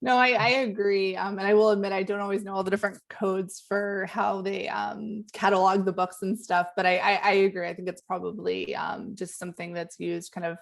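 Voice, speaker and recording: A woman's voice: medium pitch, flat delivery, fairly quick, vocal fry, hardly any pauses. A woman author in a virtual panel, speaking hesitantly with many ums and repeated words.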